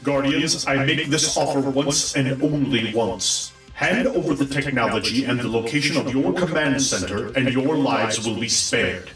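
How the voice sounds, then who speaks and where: Male actor for menacing supervillain commander in audio drama; booming echo, distant, music bed. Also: measured pace, a bit bright, close to an everyday voice. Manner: imperious, threatening. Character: menacing commanding villain leader. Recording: very echoey, distant-sounding, music underneath.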